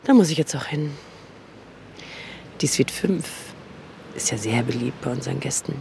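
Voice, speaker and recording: A woman's voice: rumbling low, moderate pace, vocal fry, dark tone. A woman in the role of a hotel chambermaid, narrating and chatting casually on location. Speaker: hotel chambermaid guiding a project. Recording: faint background noise.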